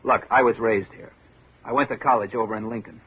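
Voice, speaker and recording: An actor playing a beaten, sardonic, world-weary architect with an eloquent, theatrical manner in a 1950s radio drama. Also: male voice, on the low side, fairly even intonation, brisk, a little hiss or hum, natural voice. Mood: bitter irony. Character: bitter, beaten, sardonic male small-town architect. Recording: narrow muffled vintage radio recording.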